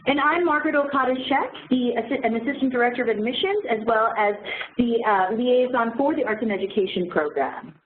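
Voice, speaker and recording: A woman moderating an information session, giving introductions in a halting way with many fillers. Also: fairly high pitch. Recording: phone-like lo-fi audio with faint noise.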